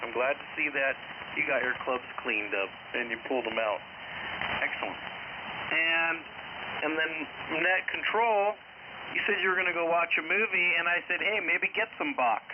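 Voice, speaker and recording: A man's voice: a little high, normal speed. A middle-aged man chatting casually as an amateur radio operator, breezy, in short choppy sentences, heard over the radio. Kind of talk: casual ham radio chat. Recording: dull, noisy ham radio transmission.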